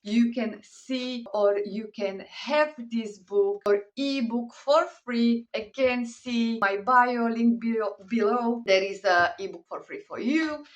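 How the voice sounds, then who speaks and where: A woman's voice: on the high side, slow, few pauses, recorded from a distance. A woman whose first language is Slovak, speaking English with a Slovak accent in an earnest, encouraging faith talk, using simple non-native grammar.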